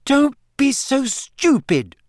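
A man's voice: extremely high pitch, big pitch swings, takes it slowly, no echo, studio quality, pitched above the performer's own voice, heavily acted. A man voicing a clever, indignant, flustered teenage schoolgirl in an audiobook, with emphatic, exasperated delivery. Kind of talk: audiobook character voice.

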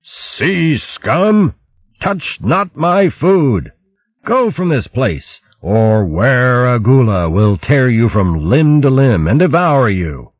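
A man's voice: mid-range voice, big pitch swings, slow, no room sound, dull audio, pitched above the performer's own voice. A man voicing a ragged, indignant hare in a storybook, blustering theatrically in old-fashioned upper-class slang. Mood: booming, menacing. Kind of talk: audiobook character voice.

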